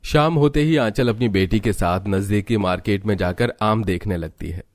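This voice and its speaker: A man narrating a cartoon story in an even, storytelling manner. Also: fairly low pitch, moderate pace, faint music behind.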